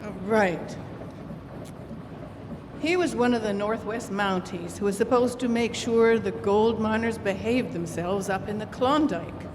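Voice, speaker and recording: An actress plays a brazen saloon keeper in an old-fashioned radio play, drawing her words out slowly. She sounds wry, folksy and defiant, in a reverberant hall with noticeable noise behind her. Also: mid-range voice, natural voice. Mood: teasing, brash. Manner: folksy, drawn-out, sardonic. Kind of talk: old-time radio drama acting. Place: live stage staged as a radio studio.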